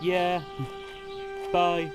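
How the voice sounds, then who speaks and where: A man's voice: sits high, completely flat delivery, extremely slow, music in the background. An actor playing an awkward, polite young male student in film dialogue, giving brief, hesitant answers. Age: young adult.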